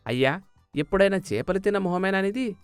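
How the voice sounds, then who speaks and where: Man voicing an indignant high-pitched village fisherman. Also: expressive, fairly quick, clear, resonant tone, dry recording, faint music behind. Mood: indignant, mocking. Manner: sarcastic, pleading.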